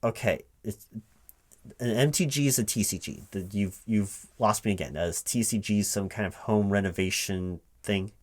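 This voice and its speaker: A man speaking aloud in a one-sided ASMR job interview roleplay as a recruiting manager, halting and repeating himself as if puzzled. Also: fairly low pitch. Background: computer keyboard typing.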